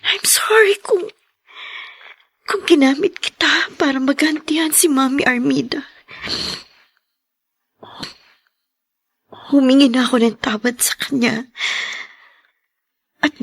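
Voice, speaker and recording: An actress playing an affectionate young wife in love in a radio drama, breathy and often dropping into whispers. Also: female voice, high voice, measured pace, pauses often, no echo. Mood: tearful, tender.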